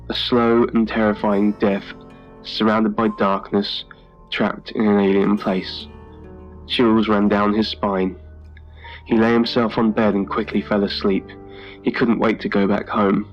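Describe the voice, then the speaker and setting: A man narrates a scripted horror tale with measured, dramatic delivery. His mid-pitched voice comes through slightly dull, with music playing behind it. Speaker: story narrator.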